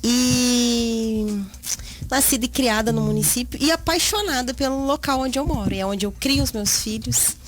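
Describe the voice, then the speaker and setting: Higher-pitched woman telling her story. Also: moderate pace, a little hiss or hum. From rural Minas Gerais, Brazil. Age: 30s. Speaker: interview guest. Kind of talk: personal life story told in conversation.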